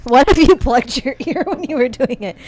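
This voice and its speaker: A woman's voice: sits high, lively intonation, normal speed, a little mellow. A woman hosting a podcast in casual chat, teasing her co-host and laughing often.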